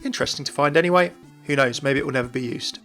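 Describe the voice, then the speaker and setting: A man's voice: mid-range voice, rapid-fire, a bit bright. A man hosting a gaming video, giving informal commentary as a voice-over. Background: music.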